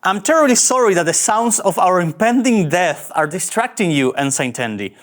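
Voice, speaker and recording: A man's voice: high voice, sing-song, moderate pace, a bit bright. A man at a table read playing an anxious, self-dramatizing young starship captain, hesitant and fretful. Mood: exasperated.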